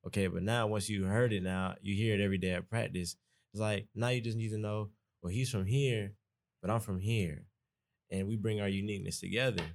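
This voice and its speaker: A young man from East Atlanta with a Southern Atlanta accent, a college student talking earnestly in a relaxed podcast chat. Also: on the low side, fairly quick, gravelly. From East Atlanta, Georgia. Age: young adult. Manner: relaxed, earnest, conversational, dialect grammar. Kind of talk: casual podcast conversation.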